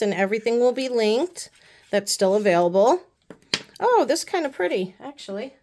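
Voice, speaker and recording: A young woman talks through a beauty haul in a loose, rambling way, full of "like" and "um". She is still sick with the flu, and her voice sits a little high. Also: darkish tone, a little hiss or hum. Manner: chatty, rambling, lots of fillers. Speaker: beauty vlogger. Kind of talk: casual haul chat.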